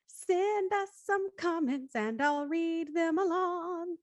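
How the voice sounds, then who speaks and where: A woman's voice: very high voice, takes it slowly, no echo, faint music behind. A woman hosting a live-streamed show in a casual, chatty and playful way. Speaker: host of a live script-reading show.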